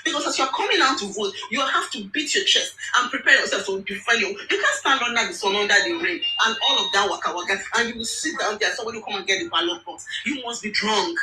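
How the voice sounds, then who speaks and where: A woman's voice: medium pitch, expressive, fast talker, few pauses, pushed and bright. A woman from Lagos with a Nigerian accent, speaking emphatically and indignantly on a political topic in an online audio room, repeating words for force. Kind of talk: impassioned political rant. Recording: roomy, distant mic with faint noise.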